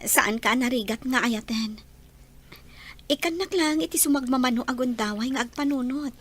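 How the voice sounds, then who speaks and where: An actress playing a gentle, serious young female student being courted in a Philippine radio drama. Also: sits high, moderate pace. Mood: gentle, hesitant.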